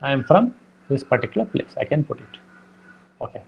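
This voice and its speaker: A man teaching programming, explaining slowly with many fillers and repeated "okay". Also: mid-range voice, sing-song, gravelly, breathy edge, dull audio. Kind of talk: lecture explaining code.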